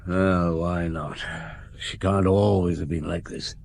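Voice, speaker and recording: An actor playing a calm, probing middle-aged chief inspector in a radio drama, asking short, pointed questions with dry humour. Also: male voice, deep voice, slow, gravelly, heavily acted.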